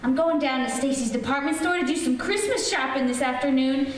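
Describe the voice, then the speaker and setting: Woman as high-voiced teenage radio girl. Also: a little fast, natural voice. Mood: polite, brisk. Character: polite, bossy teenage girl in radio comedy. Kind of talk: 1940s radio comedy acting. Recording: echoey, distant, slightly noisy recording.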